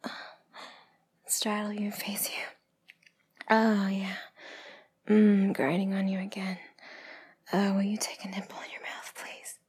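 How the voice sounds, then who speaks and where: Breathy whispering woman, slow and sultry. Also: medium pitch, monotone, darkish tone. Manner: sultry, teasing, moaning between phrases. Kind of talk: whispered intimate erotic roleplay audio.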